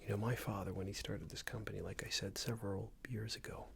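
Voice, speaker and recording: Man playing a whispering, creaky, condescending boss. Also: fairly even intonation, fast, slight background noise. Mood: condescending, disapproving. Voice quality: breathy soft whisper with low creaky murmur. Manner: hesitant, condescending, meandering reprimand. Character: smug, unreasonable male boss scolding an employee, passive-aggressive. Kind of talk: whispered ASMR roleplay.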